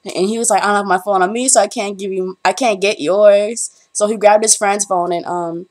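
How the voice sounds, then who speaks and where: A woman's voice: mid-range voice, steady tone, fairly quick, a little mellow. A young woman from the Bahamas, a college student, chatting to camera in a rambling, storytelling way with frequent ums. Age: young adult. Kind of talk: casual chat to camera.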